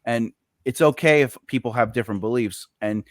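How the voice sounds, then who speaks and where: A man's voice: medium pitch, brisk, no room sound, clean studio sound. A man hosting a casual podcast chat, rambling with lots of fillers and restarts. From United States. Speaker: podcast host.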